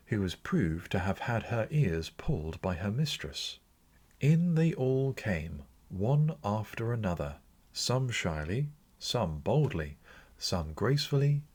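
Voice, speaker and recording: A man narrating classic fiction for an audiobook in an expressive, theatrical storytelling manner. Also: fairly low pitch, husky.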